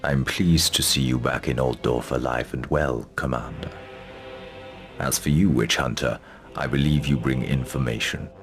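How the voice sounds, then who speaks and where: An actor playing a stately, authoritative noble ruler in a fantasy game, speaking formally and regally. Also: male voice, a bass voice, steady tone, normal speed, over music. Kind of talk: fantasy video game cutscene acting.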